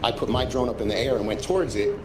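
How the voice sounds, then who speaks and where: A man's voice: medium pitch, quick pace. A man, a drone pilot, plainly recounting losing control of his drone. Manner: plain, matter-of-fact telling. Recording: echoey with background noise.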